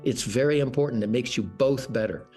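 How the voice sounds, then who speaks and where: A former co-anchor speaking reflectively in an interview, with pauses and fillers. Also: male voice, mid-range voice, dark tone, soft background music.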